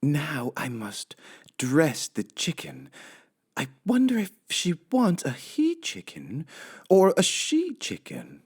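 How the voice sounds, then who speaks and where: A man's voice: a little high, wildly swooping pitch, takes it slowly, gravelly, breathy edge, voice pushed up, full character voice. A man voicing a cheerful, literal-minded housemaid in a children's storybook, earnest and eager to please. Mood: musing, pleased.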